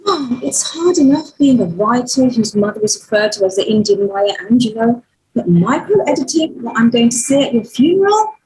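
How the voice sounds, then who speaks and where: A woman's voice: a little high, expressive, moderate pace, a little mellow, a little hiss or hum, close to an everyday voice, low-quality recording. A woman acting an exasperated, sarcastic young adult daughter at a script table read. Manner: dry sarcasm, dramatic.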